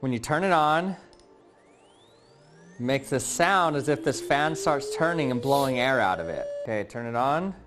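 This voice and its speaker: A man in a played clip, calmly walking through a phone app demonstration step by step. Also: medium pitch, a little slow, music in the background. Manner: explanatory, step-by-step. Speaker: science YouTuber in a played clip. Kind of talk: demonstration narration.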